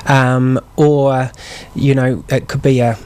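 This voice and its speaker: A man, a guest on a local radio chat. His voice sits at an ordinary pitch. He explains things carefully, repeating words and pausing to find the right phrasing. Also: level tone, a little mellow, smooth. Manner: measured, hesitant, repeats words. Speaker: guest from a trust organisation. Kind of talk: explaining services in a radio interview.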